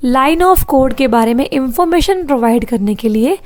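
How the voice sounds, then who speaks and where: A woman's voice: sits high, expressive, normal speed, a little mellow. Hindi-speaking woman teaching with a sore throat. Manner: explains step by step, asks "clear?" and repeats key points. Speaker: programming teacher. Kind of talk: online exam-prep lecture.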